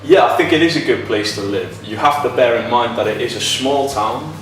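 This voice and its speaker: Male teacher, interview testimonial. Off-mic sound, clear music underneath. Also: medium pitch, a little fast, fairly bright tone. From Cardiff, Wales. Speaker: English teacher. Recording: very echoey, distant mic, music over it.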